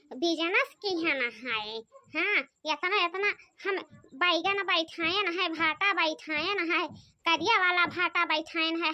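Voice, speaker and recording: A woman voicing a gossipy, complaining young village wife in an Awadhi cartoon comedy, with an Awadhi accent and a shrill, nagging chatter. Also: sharp tone, full character voice. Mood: boastful. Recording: dry, muffled lo-fi.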